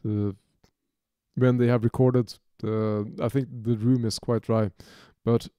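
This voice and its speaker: A Norwegian-accented man in his thirties walks through his studio setup at an unhurried, deliberate pace. His voice is mellow and smooth, recorded close and dry in a small home studio. He talks in a halting, off-the-cuff way, stopping and restarting his phrases. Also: mid-range voice, little pitch movement, dry recording. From Norway. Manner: halting, many restarts and fillers. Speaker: music producer demonstrating software. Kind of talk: unscripted tutorial.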